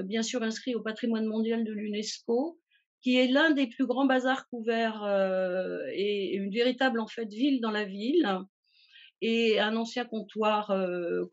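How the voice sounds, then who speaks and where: Woman showing tour slides, lots of ums and restarts, muffled call audio. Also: fairly high pitch. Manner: hesitant, many fillers and restarts. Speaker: travel agency presenter. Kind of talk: webinar slide presentation.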